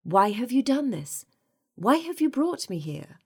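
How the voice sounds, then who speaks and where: A frightened but defiant young captive woman, played by a woman narrator, speaks with a husky edge. She is indignant and breathless, pushing her demands out dramatically as in a romance audiobook. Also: medium pitch, sing-song, moderate pace, darkish tone, pitched above the performer's own voice. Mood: fearful, pleading.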